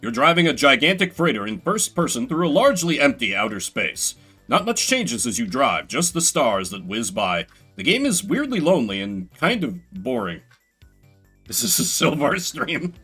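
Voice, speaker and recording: A man's voice: medium pitch, sing-song, moderate pace, bright, forceful tone, husky, faint music behind. A man doing solo video game commentary, reading game text aloud dramatically and adding sardonic, rambling asides. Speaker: game streamer.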